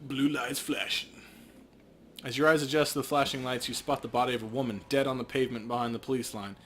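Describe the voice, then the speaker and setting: A man's voice: mid-range voice, steady tone, fast talker, fairly bright tone, a little hiss or hum. A man co-hosting a game playthrough, mixing joking casual banter with reading narration aloud. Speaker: let's play co-host.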